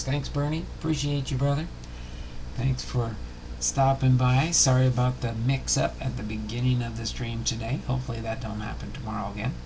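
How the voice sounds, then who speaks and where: A man's voice: mid-range voice, gravelly, background noise. A man hosting a casual livestream about sports cards, rambling and thinking aloud as he goes. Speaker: livestream host of a baseball card channel.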